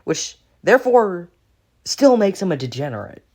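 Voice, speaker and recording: A young man in an unscripted critical rant as a video commentator, halting and repeating himself as he gropes for words, exasperated and sweary. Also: sits high, big pitch swings, darkish tone. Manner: halting, repetitive, groping for words.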